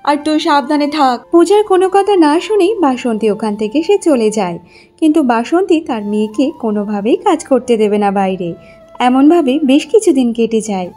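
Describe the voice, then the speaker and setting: High-voiced woman narrating a cartoon story. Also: big pitch swings, fairly quick, warm tone, faint music behind.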